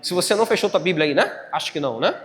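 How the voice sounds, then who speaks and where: A man's voice: sits high, lively intonation, rapid-fire. A man with a Brazilian Portuguese accent preaching a church sermon as a pastor, teaching step by step, repeating phrases and putting check-in questions to his listeners. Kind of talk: church sermon with Bible exposition. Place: church hall, live service. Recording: long hall reverb.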